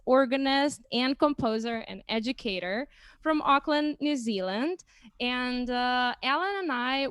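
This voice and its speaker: A woman's voice: fairly high pitch, clear, resonant tone, no echo. A young woman hosting a casual livestream chat, speaking hesitantly and often restarting phrases. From Lithuania. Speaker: host.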